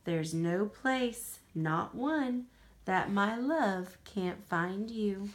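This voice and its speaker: Slow-paced American woman reading a picture book. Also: mid-range voice. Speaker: parent reading aloud to a young child. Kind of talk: picture book read-aloud.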